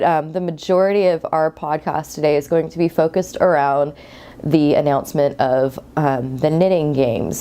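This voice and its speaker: A woman's voice: sits low, dark tone. A woman hosting a knitting podcast in casual, rambling chat, congested and sniffly from a cold. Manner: chatty, rambling, friendly asides. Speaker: podcast host.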